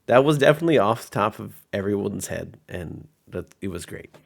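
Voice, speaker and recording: A low male voice with a strong creak, talking casually as a game master. He narrates and reflects on his tabletop campaign in an informal, unpolished, thinking-aloud style. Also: expressive, a little fast. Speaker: game master giving commentary.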